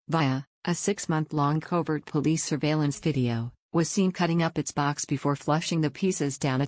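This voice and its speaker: A woman narrating a true crime story from a script, measured and slowing down on dates. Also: low-pitched, darkish tone, dry recording.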